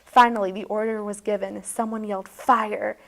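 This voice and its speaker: A woman's voice: mid-range voice, flat delivery, normal speed, gravelly, fairly bright tone, poor audio. A woman hosting a video, narrating a tongue-in-cheek bedtime story in a mock-serious, dramatic way.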